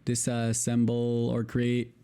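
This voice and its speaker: Man, israeli accent, hebrew native, mellow tone, halting read-aloud, exasperated swearing. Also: medium pitch, completely flat delivery, smooth. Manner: stumbling over long words, exasperated swearing asides. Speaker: video creator. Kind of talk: reading a legal text aloud.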